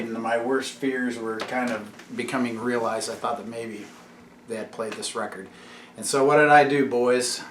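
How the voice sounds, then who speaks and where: A man's voice: mid-range voice, a bit bright. A man hosting a casual home vlog, explaining things in a teacherly way and putting questions to his kids. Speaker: YouTube channel host and father. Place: room at home. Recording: echoey room with faint background noise.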